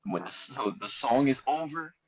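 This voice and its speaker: A man with a Philadelphia accent, a podcast guest telling a story in casual chat, laid-back but animated as he acts out what people said. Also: medium pitch, moderate pace, over a noisy crowd.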